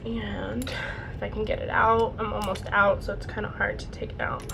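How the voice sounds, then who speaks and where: A young woman vlogger chatting casually through a makeup routine, her voice a bit raspy and her nose congested from a cold. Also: mid-range voice, flat delivery, normal speed, noisy background. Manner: chatty, rambling, lots of "like" and "super". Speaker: beauty vlogger. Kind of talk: casual makeup tutorial chat.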